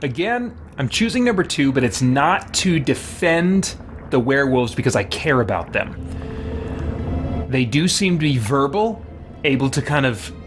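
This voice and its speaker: A man's voice: medium pitch, sing-song, a little slow. A man streaming a game with casual roleplay commentary, musing and thinking aloud. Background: game music.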